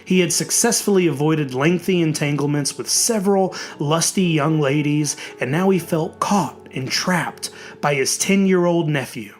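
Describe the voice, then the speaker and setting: A narrator reading a horror story in a theatrical, dramatic way, with emphatic, drawn-out words. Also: medium pitch, a little mellow, faint music behind.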